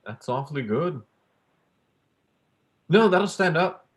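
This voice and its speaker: A young performer playing a pedantic male academic in a staged play reading, halting, smugly polite and prone to interrupt himself. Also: mid-range voice, lively intonation. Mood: teasing, polite. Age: young adult. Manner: halting, donnish, breaking off with apologies.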